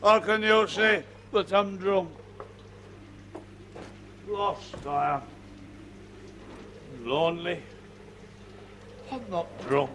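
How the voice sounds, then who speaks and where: An actor playing a drunk, lonely Newcastle football fan with a Geordie accent, rambling and indignant in a radio drama. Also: male voice, high voice, extremely slow, pauses often, bright, forceful tone, a little hiss or hum, full character voice.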